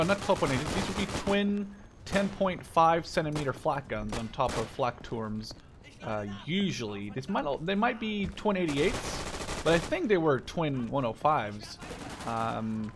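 Higher-voiced young man over noisy game gunfire. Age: 20s. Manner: excitable, profane, rambling. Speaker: video game streamer. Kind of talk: casual gameplay commentary. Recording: gunfire and game noise behind voice. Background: video game gunfire.

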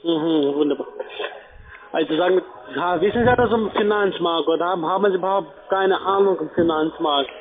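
A man with a foreign accent giving a sales pitch as a telephone agent. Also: fairly quick. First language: not German. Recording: tinny phone line.

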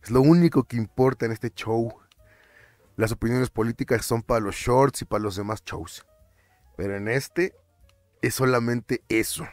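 A man's voice: mid-range voice, moderate pace, dry recording, soft background music. Chatty Mexican man on a finance vlog. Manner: animated, chatty, stumbles and repeats words, slips in English terms. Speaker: finance vlogger. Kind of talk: casual commentary over screen captures.

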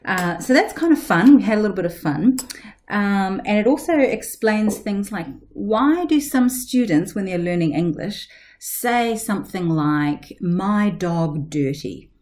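A woman's voice: mid-range voice, lively intonation, a little slow, darkish tone. A woman in her forties, a language teacher hosting a podcast, chatting and explaining at home into a webcam, digressing with lots of fillers. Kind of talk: casual explanatory podcast talk. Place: living room at home. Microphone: webcam at desk.

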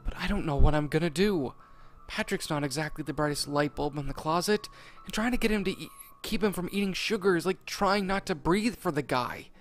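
A man's voice: fairly high pitch, brisk, soft background music, voice pushed up, natural voice. A man voicing an anxious, upset young male sea sponge who frets in worked-up outbursts.

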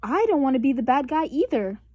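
A woman's voice: high voice, big pitch swings, brisk, darkish tone, no echo, muffled recording. A woman voicing an assertive young girl bear cub, a big sister in a storybook, with an insistent manner. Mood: protesting.

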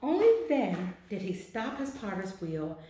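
A woman in her forties reading a children's picture book aloud with deliberate, expressive phrasing in an office. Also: mid-range voice, slight background noise. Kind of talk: children's storybook read-aloud. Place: small indoor office. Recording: very echoey, roomy sound.

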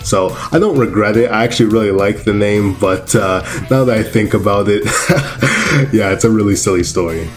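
A teenage guy from Canada talks casually to his viewers in a deep, low voice. He laughs at himself as he goes. Faint music sits quietly under his voice. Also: moderate pace. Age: teens. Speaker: YouTuber.

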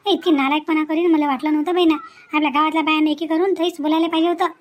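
Woman acting a very high-pitched folksy village aunt. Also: steady tone, racing, slight background noise, close to an everyday voice. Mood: indignant sympathy. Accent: Varhadi Marathi, Vidarbha. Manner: folksy, repeats herself, punctuates with questioning hums. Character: consoling, indignant village aunt, fond of the bride. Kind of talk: cartoon family melodrama acting.

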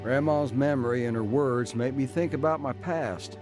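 An actor playing a world-weary gambler and crook who narrates a radio drama in a wry, clipped, hardboiled way. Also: male voice, mid-range voice, normal speed, over music, natural voice. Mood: wry recollection. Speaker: narrating protagonist. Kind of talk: first-person radio drama narration and acting.

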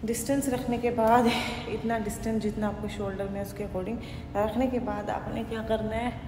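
A woman's voice: on the high side, level tone, moderate pace. A woman in her thirties filming a casual workout vlog on her phone in an empty hotel gym, explaining exercises in a chatty, instructive way and mixing English words into her talk. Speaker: fitness vlogger. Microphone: phone camera, handheld and propped. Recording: echoey gym hall with noise.

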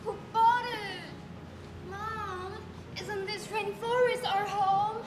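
A woman's voice: extremely high pitch, fairly even intonation, slow, over music. A teenage girl acting in a school stage play, speaking earnestly. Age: teens. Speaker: student performer. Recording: echoey hall stage recording.

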